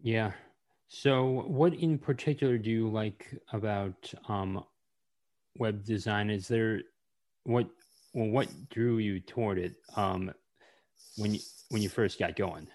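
A man hosting a podcast interview, asking halting questions with many pauses and restarts. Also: on the low side, a little mellow. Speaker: podcast host.

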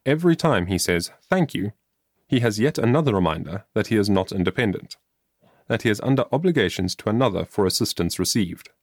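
Male audiobook narrator, lowish voice, formal and earnest scripted reading, very little room sound. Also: expressive, brisk, dry recording, studio quality. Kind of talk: scripted audiobook narration of an old-fashioned moral essay.

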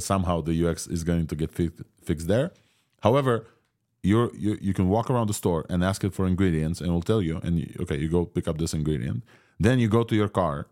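Podcast host, man, Ukrainian Israeli accent, low pitch, rambling casual delivery full of fillers. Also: darkish tone. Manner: rambling, many fillers and restarts. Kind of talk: casual tech podcast talk.

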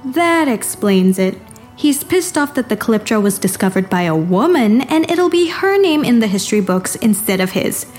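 Audio drama role: brisk, wry female assistant, slightly high voice, faint music behind. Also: sing-song, darkish tone. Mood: gossipy, wry. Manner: cheeky, matter-of-fact.

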